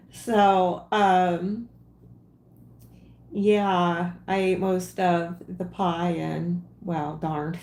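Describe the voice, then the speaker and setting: A woman's voice: lowish voice, steady tone, very slow, long pauses, roomy sound. A woman in her late forties hosting a casual crafting livestream, talking in a rambling, chatty way with frequent ums and giggles. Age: 40s.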